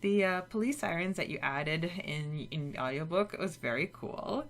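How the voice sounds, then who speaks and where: A woman's voice: deep voice, expressive, moderate pace. A woman co-hosting a podcast, chatting casually about fiction. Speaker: podcast co-host.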